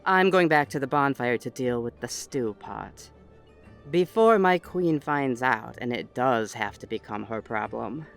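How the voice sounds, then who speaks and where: Man voicing a clear-toned, dry fae hunt leader. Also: medium pitch, a little slow, a bit bright, faint music behind. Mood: dry, unimpressed. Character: stern, dry autumn fae noble leading the Wild Hunt. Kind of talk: scripted audio roleplay acting.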